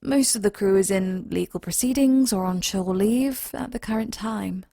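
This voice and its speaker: A woman playing a reserved, anxious starship lieutenant in an audio drama, with a husky voice and a hesitant, thoughtful manner. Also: mid-range voice, moderate pace, mellow, no echo, clean studio sound. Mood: reflective. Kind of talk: audio drama acting, personal log and dialogue.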